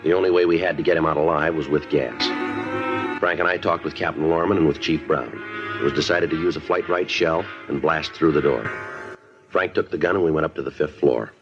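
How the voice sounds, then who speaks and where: Hard-nosed American cop, low-voiced and brisk, deadpan delivery, muffled old radio drama sound. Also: male voice, over music. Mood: flat, businesslike. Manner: clipped, deadpan, matter-of-fact. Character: terse no-nonsense male police detective and narrator. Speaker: actor. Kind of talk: 1950s radio crime drama narration and dialogue. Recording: muffled vintage 1950s radio recording.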